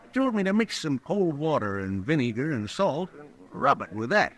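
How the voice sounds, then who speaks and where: Good-natured frontier barkeep, rural American accent, folksy, rueful, simple, radio Western. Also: male voice, fairly high pitch, very animated, moderate pace, a bit bright. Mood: sheepish. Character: simple, sheepish, good-natured saloon bartender. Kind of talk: 1950s Western radio drama acting.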